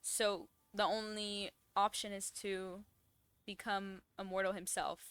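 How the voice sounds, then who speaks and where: A woman's voice: medium pitch, flat delivery, a little slow, fairly bright tone, clear, resonant tone. A woman giving informal, spontaneous commentary while playing a video game. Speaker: gamer reacting to story quest. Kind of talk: casual gameplay commentary.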